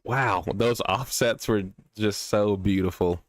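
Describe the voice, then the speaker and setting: A man's voice: fairly low pitch, slow pace, no room sound. A man giving casual reaction commentary as a streamer, with short impressed interjections and repeated words for emphasis.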